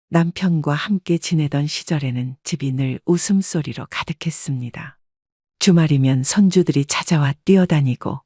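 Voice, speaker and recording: A woman giving scripted first-person narration in an even, wistful storytelling manner. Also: deep voice, husky, dry recording, studio quality. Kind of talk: scripted audio drama narration.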